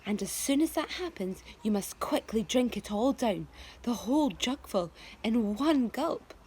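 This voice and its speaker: A teacher voicing a secretive old man who gives hushed, urgent instructions in a storybook reading. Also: a little high, expressive, unhurried, breathy edge. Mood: mysterious. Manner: hushed, whispering, then hurrying.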